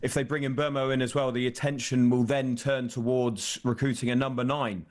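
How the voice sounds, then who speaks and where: Man, football reporter, mid-pitched voice, conversational, explanatory, unscripted broadcast discussion. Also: steady tone, moderate pace.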